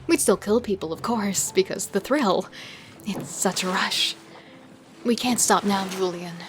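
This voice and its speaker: A woman acts out a confident female serial killer in a horror audio drama. She is sly, scheming and seductive, relishing the thrill as she persuades. Clear boat-like ambient noise sits behind her voice. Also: mid-range voice, unhurried, lightly acted. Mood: excited, scheming. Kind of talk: audio drama acting with first-person narration.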